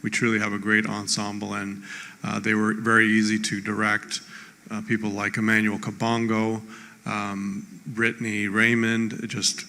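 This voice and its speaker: A man giving an off-the-cuff acceptance speech in a theatre, halting as he searches for names. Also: lowish voice, completely flat delivery, gravelly, sharp tone. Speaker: award winner, show creator. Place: theatre stage. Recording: echoing theatre hall.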